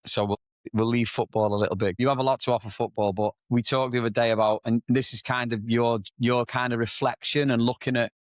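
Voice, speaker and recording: A man's voice: medium pitch, steady tone, brisk, dry recording. A man hosting a podcast, asking questions in a friendly, casual way and calling his guest "mate". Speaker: podcast host. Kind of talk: casual interview chat.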